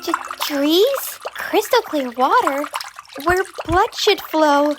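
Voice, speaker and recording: A performer voicing a fussy, loyal old underworld attendant in an animated drama, chatty, deferential and fretful. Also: sits high, takes it slowly. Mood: puzzled, worried. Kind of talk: animated-story character acting. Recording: music behind the voice.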